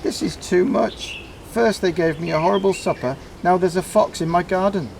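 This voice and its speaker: A man's voice: high-pitched, moderate pace, gravelly, a little hiss or hum, voice pushed up. A man voicing an indignant, put-upon female pet cat, complaining emphatically in a storybook reading.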